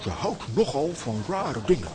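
A man's voice: a little high, moderate pace. A man playing an inquisitive, eccentric comic-strip hero in a children's audio drama. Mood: curious. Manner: lively, inquisitive. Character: eccentric adult male comic-strip hero, curious shopper. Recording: market crowd and birds behind.

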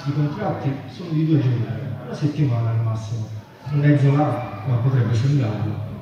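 A man's voice: mid-range voice, moderate pace, a crowd in the background. Man, literary reading, muffled dull sound, strong echo. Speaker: reader at a literary event. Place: large echoey venue at a public festival. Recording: dull, distant, very echoey, background noise.

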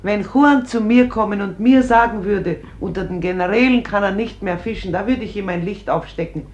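A woman's voice: medium pitch, normal speed, darkish tone, highs cut off, close to an everyday voice. An actress playing a defiant, embittered middle-aged mother in a radio drama, argumentative and emphatic. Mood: indignant, aggrieved. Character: defiant, embittered mother refusing her sons to war. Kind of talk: German stage-like radio drama acting. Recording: noisy old radio drama recording.

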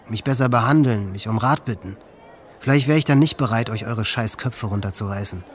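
A man's voice: on the low side, natural voice. An actor playing a hate-filled, resentful teenage boy in a German radio drama, bitter, boastful and profane. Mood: seething hatred. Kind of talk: German radio docudrama monologue.

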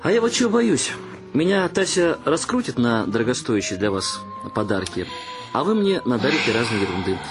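A man's voice: medium pitch, big pitch swings, brisk, over music, natural voice. An actor playing a sarcastic young son who grumbles and mocks his dreamy parents in a radio play. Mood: teasing. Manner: sardonic, exclamatory.

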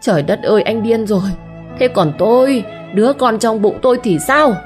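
A woman's voice: medium pitch, animated, normal speed, faint music behind, voice pushed up, natural voice. A woman voicing a pregnant young wife who wails theatrically and complains shrilly. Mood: enraged.

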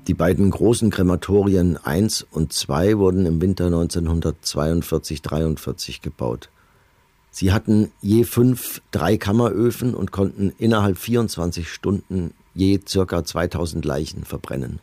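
An actor playing a middle-aged camp commandant in a documentary radio play, reading testimony in a measured, matter-of-fact, bureaucratic manner. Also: male voice, low voice, dark tone, dry recording. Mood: coldly factual.

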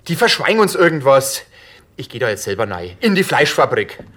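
An actor in a German radio drama playing a stubborn, defiant police detective with a rough voice and gruff manner. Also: male voice, mid-range voice, sing-song, brisk, a bit bright. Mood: gruff, weary.

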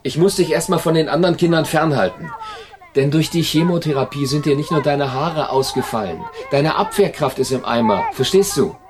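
A man's voice: a little high, animated, fairly quick, close to an everyday voice. A man playing a strict but caring carer in a children's home in a radio drama, explaining things firmly and plainly. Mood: stern, reassuring.

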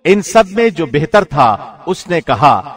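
A man reading a scripture translation from a script in a formal, measured radio-announcer manner. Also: a little high, very animated, soft background music. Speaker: translation reader, radio presenter.

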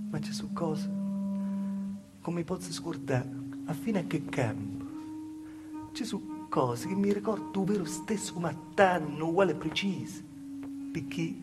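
A man performing a theatrical dialect monologue as a Calabrian peasant woman recalling her hard past, in a halting, plaintive storytelling manner with a Calabrian accent. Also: on the high side, natural voice. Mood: haunted, intense.

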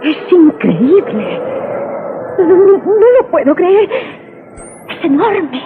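A woman's voice: very high-pitched, sing-song, slow, music in the background, full character voice. An actress with a Castilian Spanish accent playing an anxious, wonderstruck mother in a dramatic, emotional 1980s radio drama style. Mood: amazed. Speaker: radio actor. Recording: tinny, noisy 1980s radio recording.

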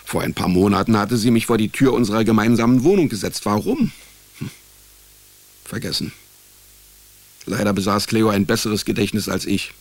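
A man's voice: on the low side, moderate pace, long pauses, no room sound, lightly acted. An actor playing a wry, world-weary male private detective, narrating a radio drama in a dry, ironic, laconic manner. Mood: dry, rueful. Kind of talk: radio drama first-person noir narration and dialogue.

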